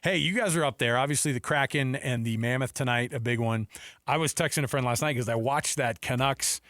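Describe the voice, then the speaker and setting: An American man from Seattle hosting sports radio, talking casually in an animated, rambling way. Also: medium pitch, a little fast, no room sound, clean studio sound. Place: radio studio. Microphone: close studio microphone.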